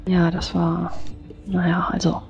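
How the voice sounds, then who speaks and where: Concerned, courteous female adventurer for tabletop roleplay, played by a woman, lower register, faint music. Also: completely flat delivery, measured pace, creaky, dull audio. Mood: polite, concerned. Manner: formal, polite. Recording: music behind the voice.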